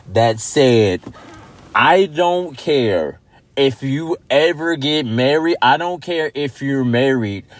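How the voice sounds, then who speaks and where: A man co-hosting a casual podcast, echoing his partner's words and telling his own stories in slow, drawn-out asides. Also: medium pitch. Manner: chimes in with short echoes, slow drawn-out asides. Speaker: podcast co-host. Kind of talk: casual chat.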